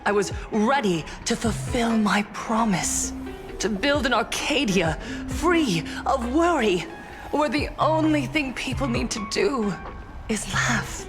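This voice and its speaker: A woman's voice: fairly high pitch, animated, slow. An actress voicing a composed woman broadcaster in a video game, with a formal, announcer-like delivery. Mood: earnest. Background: game music.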